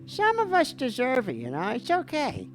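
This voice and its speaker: A woman with a gravelly voice hosts a playful call-in stream, posing as a dreamy mock therapist. Her fairly high voice swoops wildly up and down in a theatrical, goofy way. Music plays clearly in the background. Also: wildly swooping pitch, normal speed, poor audio. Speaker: livestream call-in show host. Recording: music playing behind the voice.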